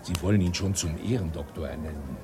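A man's voice: rumbling low, quick pace, background noise. An actor playing a gossipy, sardonic man telling a tale in a German radio play. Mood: amused, sardonic. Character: gossipy, sardonic male friend recounting a scandal.

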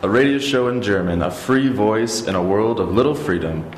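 Male radio announcer, lowish voice, echoey sound, loud guitar behind, jingle delivery. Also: moderate pace. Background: loud plucked guitar music.

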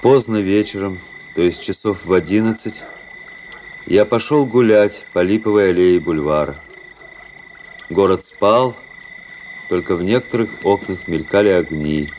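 Deep-voiced, brooding young officer. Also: male voice, darkish tone, a little hiss or hum, low-quality recording. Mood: pensive. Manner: measured, introspective, ironic delivery. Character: cold, disillusioned young Russian officer, brooding and mocking. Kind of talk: literary radio drama monologue and dialogue. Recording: radio play with music behind. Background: music.

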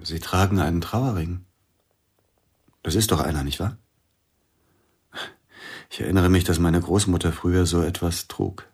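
A grieving widowed pianist. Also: male voice, low voice, normal speed, pauses often, darkish tone, breathy edge. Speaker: actor in radio play. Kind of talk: radio drama acting.

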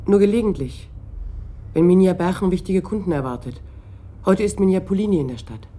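An actress playing a reserved, bereaved daughter of almost thirty in a German radio crime drama, speaking in short, matter-of-fact sentences. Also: female voice, on the low side, a little fast, mellow, lightly acted. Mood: evasive, calm. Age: young adult.

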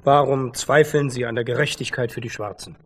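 A man's voice: medium pitch, fairly even intonation, a little fast, close to an everyday voice. An actor playing a probing, sceptical male interviewer in a radio play. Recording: clearly noisy, with clicks.

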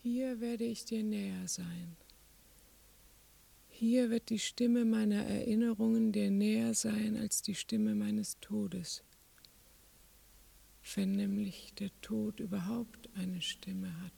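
An actress playing a wistful, bitter dying mother in a radio play, in a husky, breathy, halting voice. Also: medium pitch, steady tone, mellow, exaggerated voice. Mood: wistful, haunting. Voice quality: husky, creaky, breathy near-whisper. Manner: very slow, halting, insistent. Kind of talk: German radio play acting.

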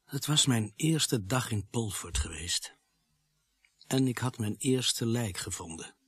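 A sober young policeman narrates a radio thriller. The voice is husky and mid-pitched, recorded dry, with measured, sombre storytelling. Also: animated, fairly bright tone, no echo, exaggerated voice. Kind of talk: scripted first-person narration in radio drama.

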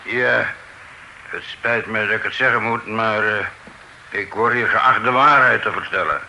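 A man's voice: on the low side, a little slow, vocal fry, pushed and bright, slight background noise, full character voice, rough recording. An actor playing a witness in an old radio play, hesitant at first, then telling his account steadily. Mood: testifying.

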